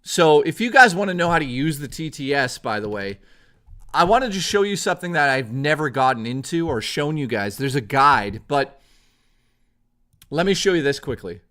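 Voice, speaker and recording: A young man hosts a live stream. His voice is hoarse from hours of talking, yet it stays bright and forceful, swinging through a lively pitch range as he chats excitably with viewers and swears freely. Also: moderate pace. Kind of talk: casual live-stream chat.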